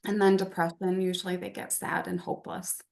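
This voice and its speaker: A woman who is a podcast guest, speaking calmly in a monotone. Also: on the low side, a little fast. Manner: calm, monotone delivery, as she says herself. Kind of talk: casual podcast conversation.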